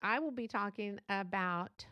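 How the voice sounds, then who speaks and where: A woman's voice: mid-range voice, measured pace, dry recording, lo-fi sound. A middle-aged woman from Texas with a light Texan accent, hosting her own podcast in an upbeat, chatty way with many fillers and restarts. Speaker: podcast host. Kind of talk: solo podcast introduction.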